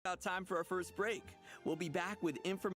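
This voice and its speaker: A man's voice: high-pitched, fairly even intonation, a little fast, a bit bright. A man hosting a scripted, upbeat promotional game showcase as its presenter. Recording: faint music under the voice. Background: light background music.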